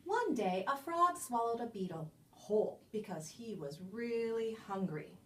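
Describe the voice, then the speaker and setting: A woman reading a children's picture book aloud as a storyteller, with expressive, emphatic delivery and dramatic pauses. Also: a little high. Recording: distant microphone.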